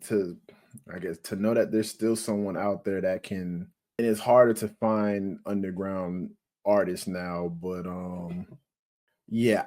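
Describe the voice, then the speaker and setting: A young man co-hosting a casual music review podcast, unhurried and emphatic, often restarting his sentences. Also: lowish voice, a little mellow. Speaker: podcast co-host.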